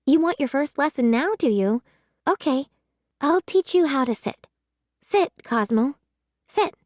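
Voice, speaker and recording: A woman voicing an eager, affectionate young girl in a children's story, sweet, excitable and earnest. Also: high voice, a little slow, dry recording, clean studio sound, voice pushed up. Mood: playful, coaxing. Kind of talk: children's audiobook read-aloud.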